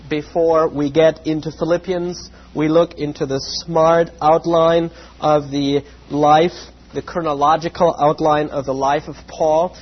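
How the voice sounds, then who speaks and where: A man with a slightly raspy voice giving an evening Bible lesson, measured and full of "uh" fillers, with a reverent tone when he prays. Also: on the high side. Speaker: Bible teacher. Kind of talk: evening Bible lecture with prayer. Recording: dull lo-fi with faint hum.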